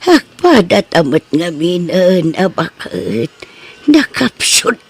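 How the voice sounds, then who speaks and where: An ailing old Ilocano village woman, voiced by an actress for radio drama. Her speech drags very slowly and cracks with gravelly fry. She sounds weary, her pitch wandering up and down. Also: sing-song, exaggerated voice. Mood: weary, complaining. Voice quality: raspy, creaky.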